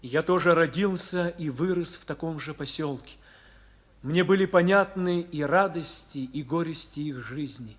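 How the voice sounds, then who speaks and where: An actor playing a reflective young sailor who narrates lyrically and expressively in a 1950s Soviet radio drama. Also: male voice, on the high side, moderate pace, lightly acted. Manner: expressive, literary, wistful. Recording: old 1950s radio recording.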